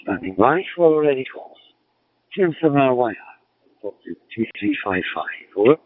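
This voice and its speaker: A man's voice: medium pitch, lively intonation, moderate pace, a little hiss or hum. A man with a Scottish accent chatting in a friendly way as an amateur radio operator, heard over a radio link. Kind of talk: casual radio contact. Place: in a car. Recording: tinny, narrow-band digital radio link.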